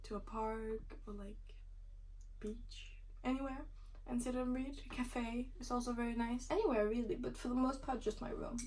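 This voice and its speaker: A woman in her twenties, a non-native English speaker, chatting casually to camera from her bedroom, rambling with many fillers, her phrase ends trailing into a breathy whisper. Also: a little high, rough recording. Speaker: student vlogger.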